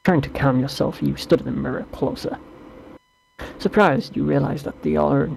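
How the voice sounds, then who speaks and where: A man co-hosting a gaming show, reading text adventure narration aloud in a steady storytelling way. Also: medium pitch, vocal fry, low-quality recording. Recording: noticeable background noise.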